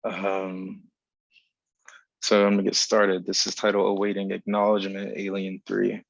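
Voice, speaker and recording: A man with a low, creaky voice reads his literary writing aloud in a flat, even line. He is a poet from South Carolina, and the sound is dry and slightly dull, as on a video call. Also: moderate pace, no echo. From South Carolina, United States. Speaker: poet reading own work. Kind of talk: literary reading of an essay.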